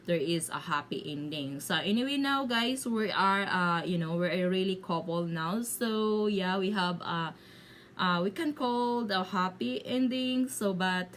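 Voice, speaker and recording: A home-made vlog where a Filipino woman with a Bisaya accent chats to her followers in halting English, her voice on the low side over faint background noise. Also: fairly bright tone. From Philippines. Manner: halting, searching for words in limited English. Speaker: video blogger. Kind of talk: casual storytelling to viewers.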